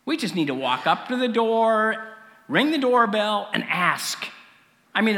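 A man voicing a brash, excitable elementary school boy who talks in an emphatic, insistent way. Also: high-pitched, roomy sound, in a large hall, voice pushed up, full character voice.

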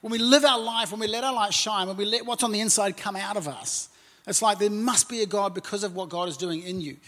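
Nieuw-Zeelandse dominee, wat hoge stem. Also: male voice, high voice, a little fast. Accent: New Zealand. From New Zealand. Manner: warm, conversational preaching with frequent "and, uh" fillers. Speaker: pastor. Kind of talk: sermon. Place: church auditorium.

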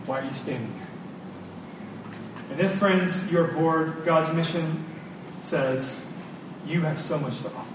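A man's voice: fairly high pitch, unhurried, long pauses. A man preaching a conversational sermon, earnest and informal, leaning on repeated phrases for emphasis. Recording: very echoey room, distant mic, clear noise.

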